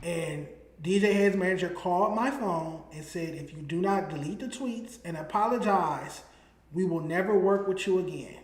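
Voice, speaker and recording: A man's voice: high voice, normal speed. A man co-hosting a hip-hop talk show. He talks in a heated, emphatic way and. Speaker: podcast host and hip-hop critic. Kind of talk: opinionated casual talk. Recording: roomy echo.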